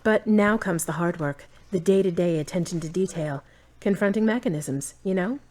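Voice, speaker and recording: A woman's voice: lowish voice, moderate pace, a little mellow, close to an everyday voice. A woman playing a supportive, forthright girlfriend in an amateur audio drama, with a direct and conversational delivery. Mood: practical, understanding.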